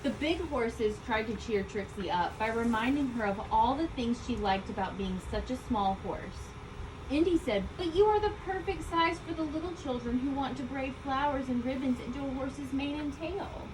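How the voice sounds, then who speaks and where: A woman reading a children's story aloud, expressively, with deliberate emphasis on key words. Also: sits high. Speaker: storybook reader. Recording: distant mic, loud background ambient noise.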